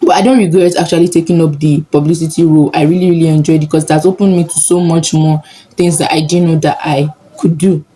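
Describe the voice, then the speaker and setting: Young woman, Nigerian accent, low voice, mellow tone, chatty sit-down vlog. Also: fairly even intonation, fast, low-quality recording. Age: young adult. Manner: chatty, upbeat, laughs often. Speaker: vlogger, medical student.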